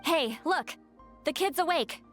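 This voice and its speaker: Bubbly, very high-pitched young girl. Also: lively intonation, unhurried, fairly bright tone, soft background music. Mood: excited. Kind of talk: anime game voice acting.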